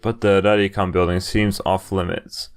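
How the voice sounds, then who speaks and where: A man's voice: low-pitched, level tone, normal speed, clear, resonant tone, soft background music. A man hosting a game playthrough, reading visual novel narration aloud and breaking off for casual commentary. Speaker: video game playthrough host.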